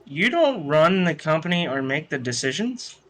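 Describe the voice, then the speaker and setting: A man's voice: on the high side, sing-song, moderate pace. A man co-hosting a podcast in casual chat, blunt and opinionated, swearing freely. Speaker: podcast co-host. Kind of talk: casual wrestling review chat.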